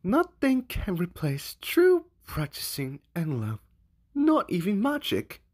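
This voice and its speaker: A man's voice: high-pitched, huge pitch swings, takes it slowly, a little mellow, heavily acted. A man voicing a doting, theatrical owl demon prince and father in an amateur cartoon comic dub. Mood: doting, instructive.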